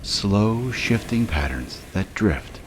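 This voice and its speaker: A man with a low-pitched voice leads a guided meditation over clearly audible ambient noise. He reads scripted lines very slowly and in a measured way, leaving long pauses between short phrases. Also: expressive.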